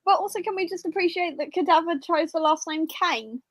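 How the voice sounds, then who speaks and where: A woman's voice: extremely high pitch, a little fast, no room sound, clean studio sound. A woman talking casually as a panellist in a live book discussion.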